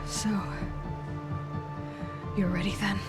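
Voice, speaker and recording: A woman's voice: medium pitch, little pitch movement, slow pace, music in the background, lightly acted. Young huntress, female actor, determined and probing, terse delivery for a dramatic video game, faint score underneath. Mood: conflicted, solemn. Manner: terse, resolute, interrogating. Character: stern, determined young huntress seeking answers and justice.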